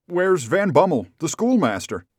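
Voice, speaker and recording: Man acting a bewildered old man, high and pleading. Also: big pitch swings, unhurried, breathy edge, dry recording, clean studio sound, exaggerated voice. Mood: anxious searching. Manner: plaintive, exclamatory.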